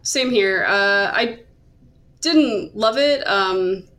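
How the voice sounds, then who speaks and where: A woman's voice: mid-range voice, lively intonation, drawn-out words, a bit bright. A woman hosting a podcast, talking casually about comics in a hesitant, self-qualifying way. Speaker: podcast host. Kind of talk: casual discussion of manga.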